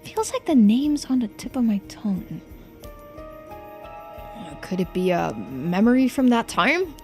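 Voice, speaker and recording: Woman acting long-lived game character, wistful, musing, explanatory, fairly high lively voice. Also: slow, faint music behind, exaggerated voice.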